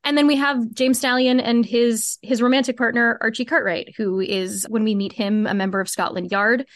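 A woman's voice: fairly high pitch, fairly even intonation, normal speed, no echo, clean studio sound. A woman answering as an interview guest, explaining at length in an earnest, emphatic, conversational way with repeated phrases. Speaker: podcast guest, fiction podcast co-creator.

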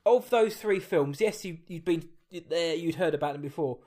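Host: Essex man, a touch high-voiced, chatty, rambles and corrects himself mid-question. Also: normal speed, mellow. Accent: Essex English. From England. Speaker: podcast host. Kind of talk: casual interview chat.

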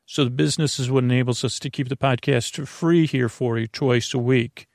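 A man hosting a podcast with a meandering, halting, digressive bedtime monologue. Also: medium pitch, steady tone, gravelly, a little mellow, no echo, clean studio sound. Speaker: podcast host.

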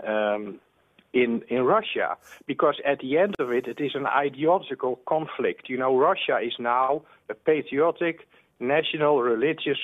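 A man calling a radio show, arguing a political point emphatically and quickly, stumbling over restarts. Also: mid-range voice, dry recording. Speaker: radio caller. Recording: tinny dry phone line.

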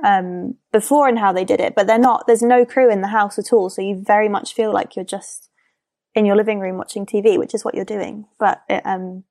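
A woman's voice: mid-range voice, steady tone, brisk, a little mellow. A young adult woman, a guest, talking in an easygoing, matter-of-fact way. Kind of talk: casual chat.

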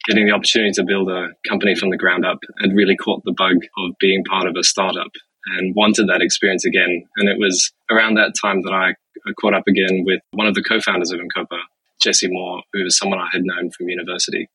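A man from Zimbabwe, a business executive answering interview questions in a measured, explanatory way with frequent fillers. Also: low-pitched, flat delivery, pushed and bright, husky, no room sound, poor audio.

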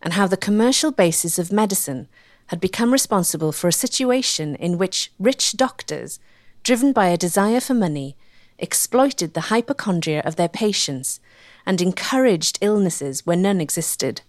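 A woman's voice: lowish voice, animated, moderate pace, dry recording, studio quality. A woman reading scripted documentary narration as the narrator.

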